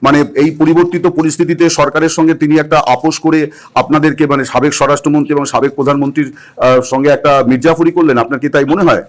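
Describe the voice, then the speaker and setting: একটু উঁচু গলার পুরুষ সাক্ষাৎকারগ্রাহক. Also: male voice, a little high, steady tone, quick pace. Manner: probing, pressing. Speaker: interviewer. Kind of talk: news phone interview.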